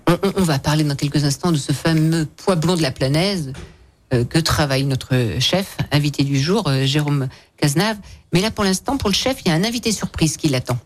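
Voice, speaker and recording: A woman's voice: deep voice, brisk. Chatty, teasing woman radio host. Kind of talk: lively conversational radio interview.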